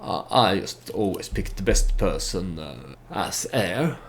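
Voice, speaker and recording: Low, unhurried man with a creaky voice. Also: very animated. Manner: hesitant, halting, thinking aloud. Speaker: let's play YouTuber narrating his strategy game. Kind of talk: casual storytelling game commentary.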